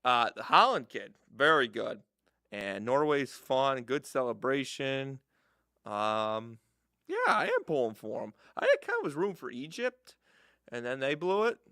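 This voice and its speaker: A man's voice: mid-range voice, sing-song, unhurried, sharp tone, no room sound, clean studio sound. A young man co-hosting a casual sports chat, joking around in a goofy, offhand, playfully naive way. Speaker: podcast co-host.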